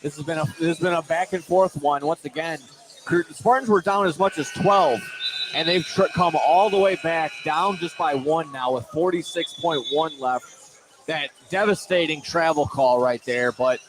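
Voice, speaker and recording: A man doing excited, wordy live play-by-play of a high school basketball game with dramatic phrasing. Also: normal speed. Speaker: play-by-play commentator. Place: indoor school field house. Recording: noisy live gym broadcast. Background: loud crowd and gym noise.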